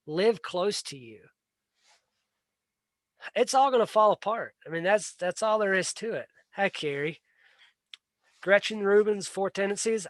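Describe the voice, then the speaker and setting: A man's voice: high-pitched, fairly bright tone, dry recording. A man hosting a casual livestream, talking earnestly and bluntly and often repeating words as he restarts a sentence. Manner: plain-spoken and blunt. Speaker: livestream host. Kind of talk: casual solo livestream talk.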